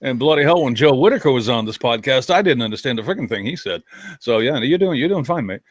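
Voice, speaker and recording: A man co-hosting a podcast, making jokey, quick asides in casual chat. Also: medium pitch, lively intonation, no room sound, clean studio sound. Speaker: podcast co-host.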